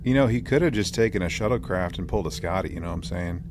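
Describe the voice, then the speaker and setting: Low-voiced man chatting over background noise. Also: fast. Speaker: podcast co-host. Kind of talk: casual chat.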